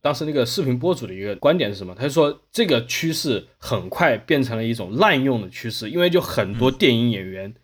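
A man's voice: medium pitch, very animated, fairly quick, hardly any pauses, slightly rough. A man hosting a casual podcast discussion, explaining his points through examples. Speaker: podcast host.

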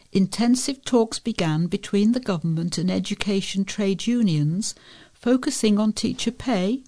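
A woman with a creaky voice reading local newspaper articles aloud as a volunteer reader. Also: medium pitch, mellow.

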